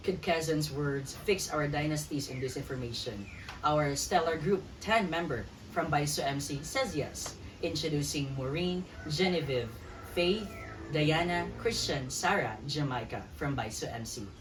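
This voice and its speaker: Female Filipino co-host, low register, scripted delivery, distant recording with faint noise. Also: a little slow. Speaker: student podcast co-host. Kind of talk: scripted podcast hosting. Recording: far from mic, faint noise.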